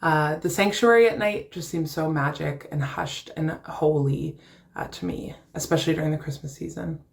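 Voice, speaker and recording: A woman's voice: sits low, moderate pace, creaky. A young adult woman giving a personal reflection for church, prepared but conversational.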